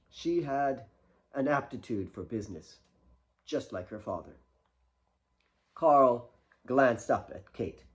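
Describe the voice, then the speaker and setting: A man's voice: medium pitch, darkish tone, dull audio. A man reading his own novel as a dramatic, scripted audiobook narration.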